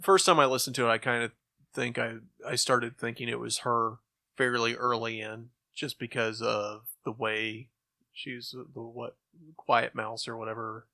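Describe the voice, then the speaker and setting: A man's voice: medium pitch, level tone, unhurried, gravelly, sharp tone, no room sound. A man chatting casually as a podcast co-host. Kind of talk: casual chat.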